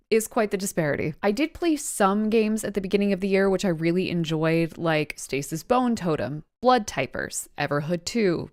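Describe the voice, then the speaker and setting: A woman giving a casual, chatty recap to camera, enthusiastic and full of emphatic asides. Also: fairly low pitch. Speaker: video creator and wrestling reaction host. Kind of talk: casual scripted recap vlog.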